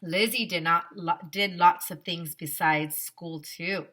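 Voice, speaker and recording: Slow, bright-voiced woman reading to children. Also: on the low side. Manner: expressive, exaggerated emphasis, addresses children directly. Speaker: teacher reading a picture book aloud to children. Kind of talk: animated storybook read-aloud with asides and questions to young listeners.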